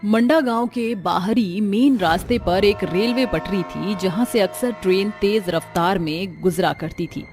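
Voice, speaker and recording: A woman's voice: mid-range voice, a little slow, hardly any pauses, over music. A woman reading scripted dramatic narration for a cartoon horror story.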